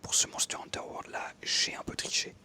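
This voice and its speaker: A young adult whispering breathily in a casual ASMR style, chatting informally and enthusiastically while narrating gameplay up close. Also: moderate pace. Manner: informal, enthusiastic gamer talk.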